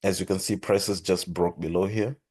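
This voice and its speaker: A man hosting a trading lesson, talking steadily through charts with a hoarse voice and frequent throat-clearing. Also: sits low, moderate pace. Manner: steady explanatory, repeats "with that being said". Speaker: forex trading channel host. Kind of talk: screen-share trading lesson.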